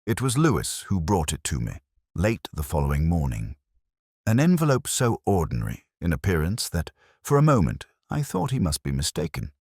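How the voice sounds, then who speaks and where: A man giving scripted first-person detective narration in a measured, reflective, solemn manner. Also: low voice, huge pitch swings, a little mellow, breathy edge, no room sound, studio quality.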